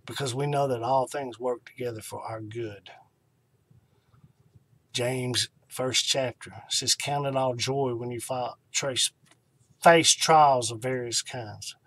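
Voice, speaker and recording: A middle-aged man hosting an online ministry, talking informally and devotionally to camera in an unhurried way, with emphatic pauses and repeated key phrases. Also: medium pitch, fairly even intonation, fairly bright tone.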